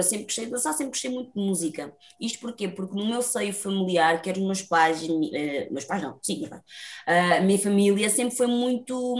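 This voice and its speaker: A young woman from Lisbon with a Lisbon Portuguese accent, a lively, talkative dancer telling her story as an interview guest, laughing often. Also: medium pitch.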